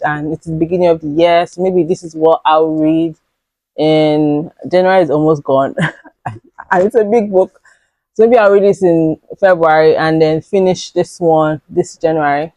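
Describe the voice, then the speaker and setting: A young woman with a raspy voice, chatting casually to camera about books she bought, rambling with fillers. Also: on the low side, a little mellow, no echo. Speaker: book vlogger.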